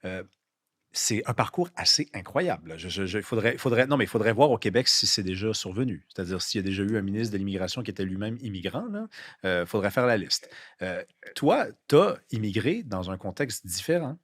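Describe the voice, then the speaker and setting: A man's voice: on the low side, expressive, fairly quick, fairly bright tone. A man with a Québécois French accent hosting a podcast interview, asking questions casually and informally with frequent hesitations. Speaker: podcast host. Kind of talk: conversational interview.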